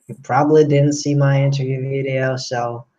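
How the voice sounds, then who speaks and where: Twenties Russian-born male entrant, flat delivery, lots of fillers, lo-fi sound. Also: mid-range voice, moderate pace. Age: 20s. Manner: hesitant, many fillers. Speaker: contest entrant. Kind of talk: casual video call chat. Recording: dull lo-fi call audio.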